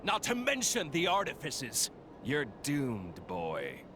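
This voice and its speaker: A man's voice: on the high side, huge pitch swings, takes it slowly, a little hiss or hum, full character voice. An actor playing a cruel, mocking, theatrical male villain in a video game cutscene. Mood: gloating. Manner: taunting, sneering, theatrical. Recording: loud background music.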